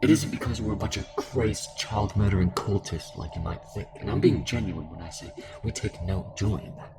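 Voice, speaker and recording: A man playing a calm, sinister cult fanatic fused with a devouring entity, taunting in measured monologue in an audio drama. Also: low voice, noisy background. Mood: earnest, unsettling. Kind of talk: dramatic audio roleplay acting. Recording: music under the voice.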